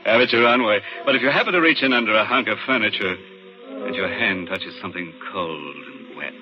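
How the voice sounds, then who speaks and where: An actor playing a macabre, mocking horror host in 1940s radio, speaking with sardonic, ghoulish playfulness. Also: male voice, fairly low pitch, a little fast, lightly acted. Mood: sardonic, teasing. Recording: muffled old radio broadcast, organ-era music.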